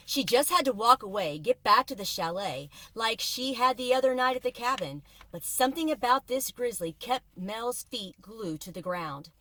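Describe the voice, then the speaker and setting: A woman reading a children's book aloud from inside a car, with expressive, suspenseful dramatic narration like a teacher. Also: medium pitch, dry recording. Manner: expressive, suspenseful storytelling with varied volume.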